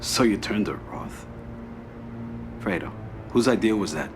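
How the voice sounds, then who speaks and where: An actor playing a cold, controlled mafia don in a video game, grave and measured, with an American accent. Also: male voice, on the low side, lively intonation, noisy background. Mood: stern, controlled. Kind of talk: video game cutscene acting.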